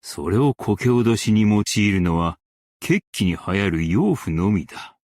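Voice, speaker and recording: A dignified, stern master craftsman and warrior from a game. His voice is very low and he speaks slowly in formal, archaic phrasing, each line weighty and measured. The sound is close and dry. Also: animated, darkish tone, dry recording. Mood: solemn. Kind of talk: Japanese game character voice lines.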